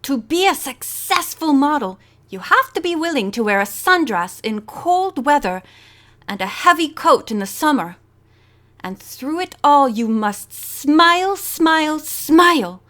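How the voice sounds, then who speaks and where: Woman playing storybook French ex-model in her thirties: high, poised, formal, lightly French-accented. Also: big pitch swings, measured pace, pushed and bright, full character voice. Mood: brisk, instructive. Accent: faintly French. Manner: formal, poised speech-making.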